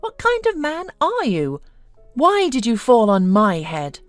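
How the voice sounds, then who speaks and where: A woman's voice: on the high side, wildly swooping pitch, a little slow, dry recording, soft background music, pitched above the performer's own voice. A woman voicing a haughty, scornful, domineering businesswoman with a mocking, theatrical manner in a narrated story.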